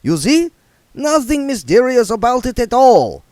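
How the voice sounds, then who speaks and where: A man's voice: very high voice, sing-song, measured pace, a little mellow, dry recording, clean studio sound, pitched above the performer's own voice, full character voice. A man voicing a fussy, reformed male vampire who speaks in a prim, indignant, overly formal way. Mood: lecturing.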